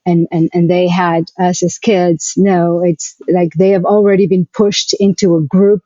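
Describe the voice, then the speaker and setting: A woman with a South African accent speaking conversationally as an interview guest, haltingly and reflectively repeating words. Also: lowish voice, level tone, warm tone, dry recording, highs cut off. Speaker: interview guest, arts nonprofit executive. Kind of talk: conversational personal account.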